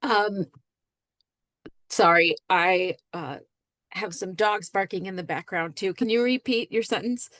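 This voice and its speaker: A woman's voice: mid-range voice, measured pace, a bit bright, no echo. A woman on the faculty answers applicants' questions over a video call. She sounds close and dry, and speaks directly and practically. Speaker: faculty member.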